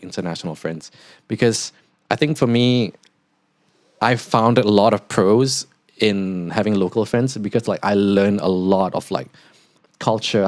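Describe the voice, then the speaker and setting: Young male podcast host, Singaporean accent softened toward neutral, low voice, loose filler-heavy delivery. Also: dry recording, studio quality. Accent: Singaporean, partly neutralized toward Australian. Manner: rambling, lots of "like" fillers. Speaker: podcast host, international student. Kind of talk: casual podcast monologue.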